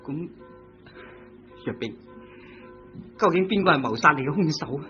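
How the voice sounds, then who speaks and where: A man's voice: a little high, animated, moderate pace, sharp tone. A man with a Hong Kong Cantonese accent, playing a timid, philandering young painter who stammers and hesitates in a vintage radio drama. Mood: remorseful. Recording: lo-fi old radio recording with music.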